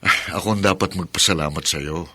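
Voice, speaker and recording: A man's voice: low-pitched, brisk, bright, forceful tone, husky, dry recording, exaggerated voice. An actor playing a supportive, affectionate husband and father in a Filipino radio drama, earnest and encouraging. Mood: pleased, affectionate.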